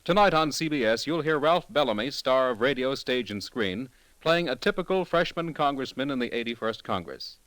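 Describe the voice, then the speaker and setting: A man announcing for a 1940s radio network, reading a script in a formal, polished and measured way. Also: medium pitch, lively intonation, a bit bright, no echo. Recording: dry vintage radio broadcast, faint music.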